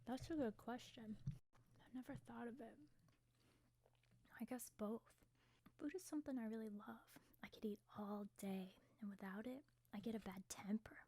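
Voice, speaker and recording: A woman voicing a brash young female gymnast in a casual, slangy, breathy whisper for an ASMR roleplay. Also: fairly high pitch, fairly even intonation, moderate pace, dark tone. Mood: candid, confident.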